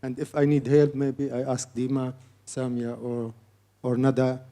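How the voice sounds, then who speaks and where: Panel artist, man, halting non-native English, mellow warm voice. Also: mid-range voice, level tone. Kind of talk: panel talk.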